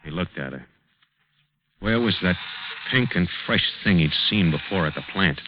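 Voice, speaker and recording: A man's voice: low-pitched, animated, normal speed, background noise. An actor playing a brooding, possessive, bitter husband in an old radio drama, intensely narrating his own seething thoughts. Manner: intense, brooding interior monologue. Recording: dull old radio recording under loud music.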